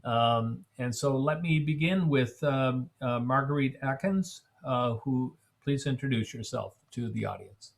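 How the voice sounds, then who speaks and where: Male moderator, measured and halting. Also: mid-range voice, creaky. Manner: measured, many fillers. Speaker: panel moderator. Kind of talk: moderating online panel. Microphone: video call.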